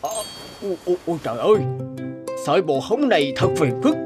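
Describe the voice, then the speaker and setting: A grumbling, lazy old husband in a village cartoon, voiced by a man. He mutters to himself in an animated voice that rises and falls. Loud music plays behind him. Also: a little high, a little fast. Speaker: voice actor for several male cartoon roles. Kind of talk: cartoon dubbing.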